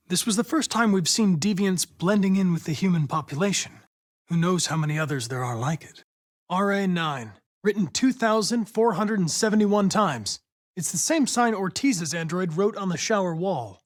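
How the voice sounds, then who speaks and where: A young man acting as a polite, analytical android police investigator in a video game, speaking in a precise, formal, measured way. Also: sits high. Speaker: android detective.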